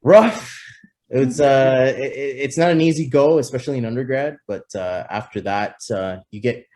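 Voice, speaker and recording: A man in his thirties, a guest on a casual interview, speaking in a relaxed, matter-of-fact way with frequent restarts. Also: medium pitch, normal speed. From Toronto area, Canada. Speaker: guest, business founder.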